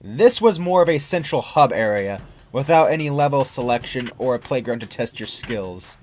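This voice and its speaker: A man's voice: mid-range voice, lively intonation, moderate pace. A man reading a scripted first-person creepypasta story as its narrator. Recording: music under the narration.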